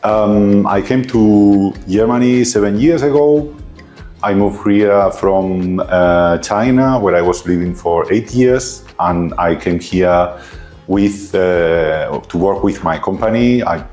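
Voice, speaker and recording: Spanish guy explaining a recipe, real deep voice, lots of uh and um. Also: takes it slowly, darkish tone, faint music behind. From Spain. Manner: hesitant, many fillers and restarts. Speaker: guest cook. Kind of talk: casual kitchen chat.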